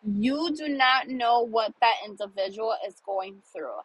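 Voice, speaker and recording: A woman's voice: fairly high pitch, unhurried, husky, poor audio. A woman in her thirties hosting a casual monologue podcast, earnest and emphatic, repeating phrases for emphasis, sounding a little congested. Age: 30s. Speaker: podcast host.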